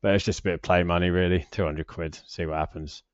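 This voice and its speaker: A British man in his forties with a low voice talks casually through a screen share. He rambles and hesitates, often putting himself down. The recording is slightly dull but quite dry. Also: fairly even intonation, fast, no echo. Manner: rambling, hesitant, self-deprecating. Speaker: personal finance vlogger.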